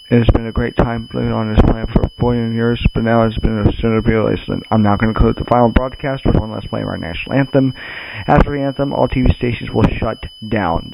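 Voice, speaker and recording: A man playing a grave government spokesman in a doomsday radio drama, giving a solemn emergency address in a halting, repetitive way. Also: medium pitch, gravelly, very noisy, close to an everyday voice. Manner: halting, stumbling, repeats himself. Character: grave government spokesman announcing the end of the world.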